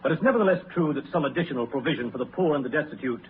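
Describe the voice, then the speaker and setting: Man playing genteel gentleman fundraiser, courteous, very varied intonation, old radio drama, slight hiss. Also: a little high, lively intonation, rapid-fire, natural voice. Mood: polite, persuasive. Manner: genteel, formal, persuasive. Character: courteous, earnest gentleman charity collector. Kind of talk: 1930s radio drama acting. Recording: faintly hissy, narrow-band 1930s radio broadcast.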